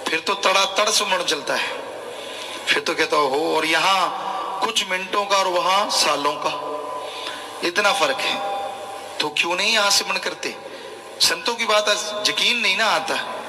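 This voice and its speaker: High, sharp-voiced male preacher in echoing hall. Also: unhurried, long pauses. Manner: admonishing, conversational, acts out short imagined dialogues. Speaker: spiritual preacher. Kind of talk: colloquial religious sermon. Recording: echoey hall with long reverb.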